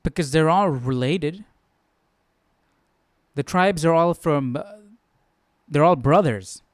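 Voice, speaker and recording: A man's voice: fairly high pitch, takes it slowly, dry recording, studio quality. A man giving casual, off-the-cuff commentary as host of a Bible study, questioning and conversational with repeated phrases.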